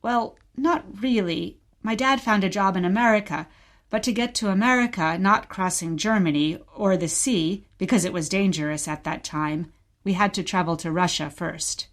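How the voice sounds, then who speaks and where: A woman acting a gentle, patient mother who tells stories of her childhood in a scripted radio play. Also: medium pitch, moderate pace, lightly acted. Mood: patient storytelling. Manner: patient, storytelling.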